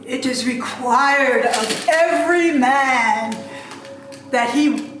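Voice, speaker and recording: A woman's voice: high-pitched, very slow, music in the background. A woman in an amateur dramatic reading playing a mournful male ghost in chains who declaims solemn warnings. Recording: very echoey room, faint background noise.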